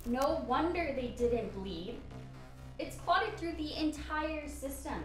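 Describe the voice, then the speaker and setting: Woman playing a no-nonsense kind doctor in a radio play, highish voice, echoey with music behind. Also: lively intonation, takes it slowly. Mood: clinical. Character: brisk, compassionate female doctor investigating a disaster. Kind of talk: radio drama acting.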